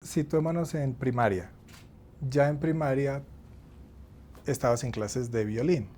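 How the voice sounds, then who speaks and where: A man interviewing a musician, asking friendly questions in a gentle, halting way. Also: medium pitch, a little hiss or hum.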